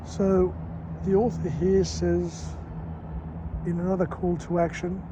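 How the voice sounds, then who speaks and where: A man with a hoarse, croaky voice, vlogging on a walk outdoors at dawn and summarising a book slowly in short phrases. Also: high-pitched, flat delivery. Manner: slow and deliberate, in short phrases. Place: outdoors on a city street at dawn. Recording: muffled outdoor phone audio with traffic.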